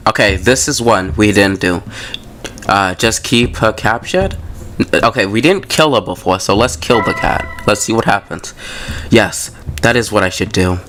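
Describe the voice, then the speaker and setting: A man's voice: fairly low pitch, moderate pace, background noise. A man hosting a gaming video, casually commenting and reading story text aloud in an informal, slangy way.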